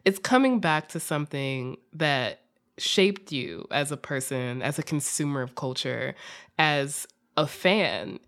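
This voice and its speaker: A woman's voice: low-pitched, expressive, takes it slowly, a bit bright. A woman hosting a podcast in a casual, conversational interview style, informal and a little wry. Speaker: podcast host.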